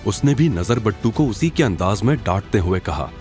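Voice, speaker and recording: A man with a low voice narrates a dramatic audio story. His delivery rises and falls in a lively, scripted storytelling style, with music playing underneath. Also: moderate pace.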